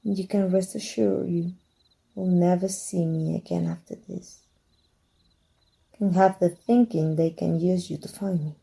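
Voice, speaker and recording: A woman playing a husky, secretive dark magic teacher. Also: fairly low pitch, fairly even intonation, poor audio. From Mexico. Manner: soft, measured, drops to whispers. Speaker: voice actor. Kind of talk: ASMR roleplay.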